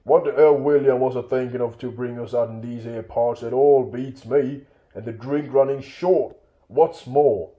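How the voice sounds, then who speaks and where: A man's voice: medium pitch, fairly even intonation, normal speed, highs cut off, natural voice. A man voicing a brutish, quarrelsome troll in a storybook, grumbling and menacing in coarse rustic speech. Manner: coarse rustic dialect, belligerent.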